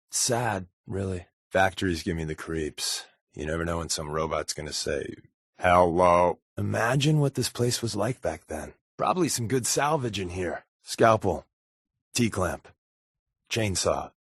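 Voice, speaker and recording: A sardonic American secret agent, a sly fibber from a video game. His voice is slightly rough and husky, recorded very dry, and his pitch moves around a lot as he tosses off casual, deadpan quips. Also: dry recording, heavily acted. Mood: musing, deadpan. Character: wisecracking, sly adult male undercover agent, habitual fibber. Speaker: companion character in a video game.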